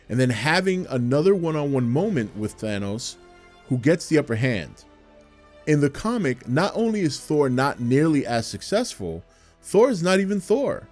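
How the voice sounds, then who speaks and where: A man's voice: mid-range voice, darkish tone. A man hosting a video with scripted narration. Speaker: video host. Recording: music behind the voice. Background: music.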